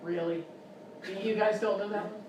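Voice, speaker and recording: A woman's voice: medium pitch, fairly even intonation, normal speed, close to an everyday voice. A woman playing an exasperated, condescending quiz show host in a live radio-style comedy sketch. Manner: incredulous, scolding, mock-polite. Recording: very echoey live room, far mic, noisy.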